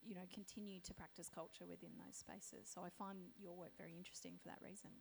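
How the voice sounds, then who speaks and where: A woman from Australia moderating a panel discussion, her voice croaky, talking in a thoughtful, halting way with many fillers. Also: lowish voice, steady tone, darkish tone, slight background noise.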